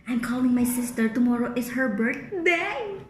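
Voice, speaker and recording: A Filipino woman chatting casually in an excitable, giggly way during prank calls. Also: on the high side, a little slow. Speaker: prank vlogger. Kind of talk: casual prank-call chat. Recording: echoey room with faint background noise.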